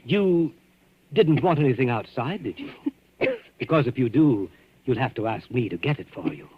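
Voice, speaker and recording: A husky man's voice plays a sinister, deranged innkeeper. His pitch swings widely as he insinuates with eerie politeness and menace. It has the dull sound of vintage suspense radio. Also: expressive, normal speed. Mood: falsely solicitous. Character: sinister, deranged hotel keeper, falsely solicitous killer. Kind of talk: 1940s radio suspense acting. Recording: muffled old-time radio recording.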